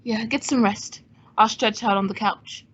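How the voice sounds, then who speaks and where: A woman's voice: fairly high pitch, steady tone, unhurried, a little hiss or hum, natural voice. A woman playing a suave, wisecracking male adventurer-detective in an old-time radio drama, with a wry, composed, teasing manner. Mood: smooth, teasing. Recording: dull, muffled lo-fi sound.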